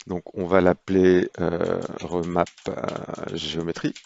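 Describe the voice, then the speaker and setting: Deep gravelly man explaining software. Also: little pitch movement, lo-fi sound. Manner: unhurried, explanatory, frequent hesitations. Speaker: software trainer. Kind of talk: screen-recorded software tutorial.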